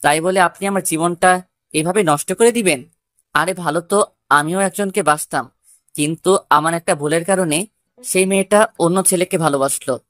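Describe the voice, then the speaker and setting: Lowish female story narrator, dry sound. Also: moderate pace, fairly bright tone, no echo. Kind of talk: scripted first-person love story narration.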